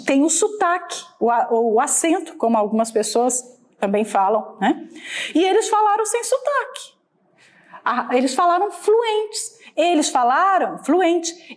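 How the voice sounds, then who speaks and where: A woman from Minas Gerais with a Brazilian mineiro accent, preaching in a conversational sermon style and often checking in with her listeners. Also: very high voice. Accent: Brazilian Portuguese, Minas Gerais. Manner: conversational, asks the listeners for agreement. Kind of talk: sermon teaching.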